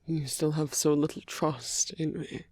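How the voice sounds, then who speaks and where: An actor playing a brooding, protective male villain in love with his enemy, in an intimate audio roleplay with a breathy voice that often drops toward a whisper. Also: fairly high pitch, steady tone, unhurried, a little mellow, no echo. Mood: earnest pleading. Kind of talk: ASMR roleplay audio drama, intimate monologue.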